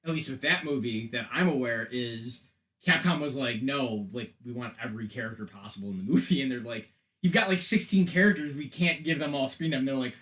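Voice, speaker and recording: A man's voice: mid-range voice, a little fast, a bit bright. A man hosting a casual film and game podcast, talking in a rambling, profane way full of joking comparisons. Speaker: podcast host. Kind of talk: casual chat about films and video games.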